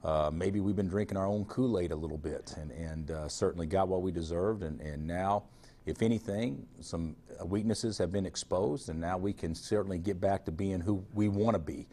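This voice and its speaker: A man in his forties with a low, husky voice, talking in a plain, frank way as a basketball coach. He often stumbles and repeats words before getting a thought out. He sounds like he is on a lapel mic in a remote studio. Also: normal speed, dark tone. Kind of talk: TV interview answers.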